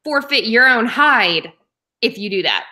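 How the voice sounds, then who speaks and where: A woman from Oklahoma weighs in on a novel with a bright, clear voice pitched a little high. She states her views plainly and confidently, in an easy conversational way. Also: animated, a little slow. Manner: frank, matter-of-fact opinions. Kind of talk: casual book discussion.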